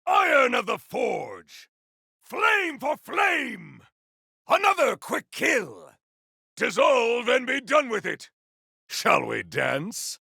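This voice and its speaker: Game role: bombastic, honorable cyborg warrior, slow declamatory delivery, often shouted, raspy edge. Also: male voice, very high voice, no echo, studio quality, heavily acted. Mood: grave, measured. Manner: theatrical, declamatory, grandiose. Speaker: video game voice actor. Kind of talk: video game combat barks.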